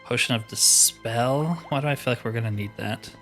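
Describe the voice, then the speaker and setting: A man's voice: mid-range voice, moderate pace, fairly bright tone, faint music behind. A man giving casual, chatty commentary while playing a roleplaying video game.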